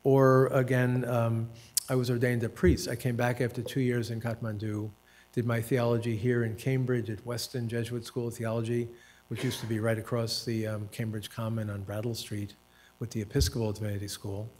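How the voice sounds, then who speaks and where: Man, 70s, American author and professor; reflective panel storytelling, dry self-deprecating humor, hesitant pauses. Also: medium pitch, level tone, a little mellow, a little hiss or hum. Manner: hesitant fillers and restarts.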